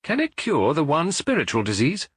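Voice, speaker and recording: A man voicing a short, unassuming priest in an audiobook detective story, serious, curious and gently pointed. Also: a little high, huge pitch swings, normal speed, breathy edge, no room sound, voice pushed up, full character voice. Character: short, unassuming, earnest male priest, quietly wise.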